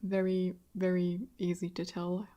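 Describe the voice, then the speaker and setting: A woman's voice: lowish voice, monotone, unhurried, warm tone. A woman in her early twenties from southwest Germany, speaking English with a German accent, hosting a casual solo podcast and chatting in a self-deprecating way with frequent laughs. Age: 20s. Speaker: podcast host.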